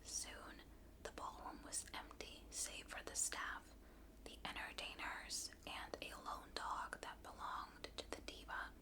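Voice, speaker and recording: A woman reading a story aloud in a hushed, soothing ASMR whisper, like a bedtime narrator. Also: slow.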